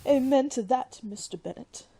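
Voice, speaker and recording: A woman's voice: sits high, normal speed, vocal fry, mellow, slightly rough, full character voice. A woman playing a sentimental, fretful middle-aged wife and mother in a melodramatic old-time radio style. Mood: resigned. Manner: melodramatic wailing. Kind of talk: old-time radio comedy-drama acting.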